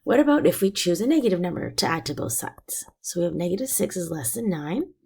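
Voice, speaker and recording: Raspy-voiced woman teaching a math lesson. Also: lowish voice, very animated, a little fast, darkish tone. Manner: patient, step-by-step explaining. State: hoarse from illness.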